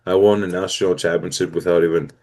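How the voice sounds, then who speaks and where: A man's voice: sits low, fairly even intonation, fast talker, darkish tone. A man in his twenties from Greece with a Greek accent, an upbeat and earnest guest telling his story in a casual interview. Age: 20s. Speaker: guest athlete.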